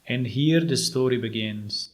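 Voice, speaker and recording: A man reading scripted narration for a game intro in a slow, measured storytelling delivery. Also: mid-range voice. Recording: narration under very loud music.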